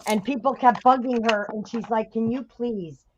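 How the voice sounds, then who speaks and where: A woman's voice: a little high, normal speed. A woman with a Chicago accent chatting casually on a craft stream, dragging out her words and laughing often. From Chicago, USA. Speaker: craft livestream guest. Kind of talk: casual chat. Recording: dull lo-fi stream audio with faint hiss.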